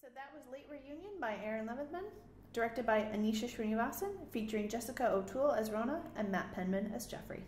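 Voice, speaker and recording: A woman's voice: on the high side, fast talker, a little mellow. A woman hosting a podcast, presenting and chatting casually. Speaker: podcast host. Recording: roomy, faint background noise.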